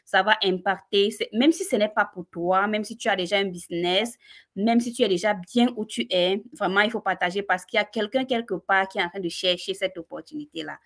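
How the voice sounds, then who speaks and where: A woman with a Cameroonian French accent telling her personal story on a live stream, animated and talking straight to her listeners. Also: medium pitch, a little fast.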